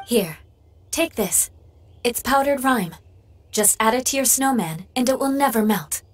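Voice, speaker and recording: A woman's voice: a little high, expressive, unhurried. A woman voicing a cool, helpful female knight in a video game style. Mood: composed, kind.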